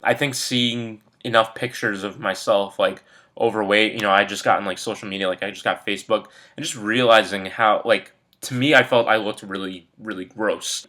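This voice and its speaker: A man in his early twenties, a little congested from a cold, talking candidly in a relaxed personal vlog with lots of fillers. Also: fairly low pitch. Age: 20s. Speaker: vlogger.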